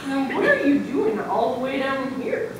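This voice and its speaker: A friendly, easygoing yard teacher, acted by a woman, with a high voice that swings widely in pitch. The story performance is picked up from afar in a strongly echoing room. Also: big pitch swings, moderate pace, distant mic. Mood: puzzled, amiable. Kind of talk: readers theatre school story performance. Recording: echoey room from afar, background noise.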